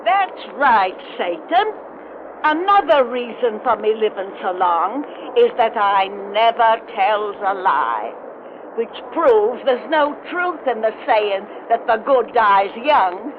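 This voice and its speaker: Slow, cackling old witch. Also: fairly high pitch. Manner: folksy, ungrammatical, cackling, teasing. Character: gleeful cackling ancient witch telling bedtime horror tales. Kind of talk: 1930s radio horror acting. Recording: dull, noisy old radio broadcast.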